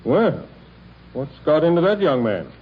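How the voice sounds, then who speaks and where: An actor playing a genial, brisk older businessman father in an old radio drama, hearty and authoritative. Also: a little high, very animated, highs cut off, a little hiss or hum. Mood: courteous. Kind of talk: 1930s-40s radio acting.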